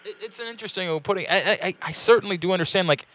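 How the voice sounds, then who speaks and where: A man's voice: sits high, rapid-fire, pushed and bright, no echo. A man hosting an interview, enthusiastic and prone to stammering restarts. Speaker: interview host. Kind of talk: casual interview talk.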